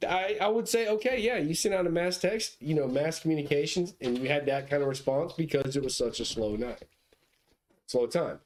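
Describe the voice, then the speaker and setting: A man's voice: a little high, moderate pace, darkish tone. A man hosting a podcast in casual chat, skeptical and questioning, thinking aloud. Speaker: podcast host.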